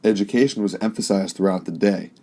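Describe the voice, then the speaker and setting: A male news reporter reads scripted narration in a low, soft-edged voice. The tone is mellow and dark, with the measured wording of a prepared report.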